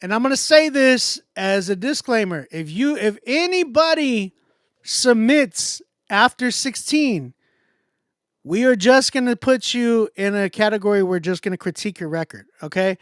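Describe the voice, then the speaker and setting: A man's voice: sits high, animated, moderate pace, a bit bright, clean tone, no room sound, clean studio sound. A man with an American accent hosting a music contest livestream in casual, slangy, repetitive hype talk.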